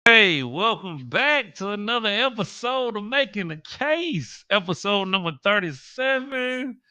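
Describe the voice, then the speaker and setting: A man's voice: high voice, very animated, a little slow, bright, forceful tone, smooth, dry recording. An American man hosting his own show, talking casually with a stuffed-up nose and checking in with "right?" and "okay?". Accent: American English. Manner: conversational, keeps adding "right?" and "okay?". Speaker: podcast host. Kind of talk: casual monologue commentary.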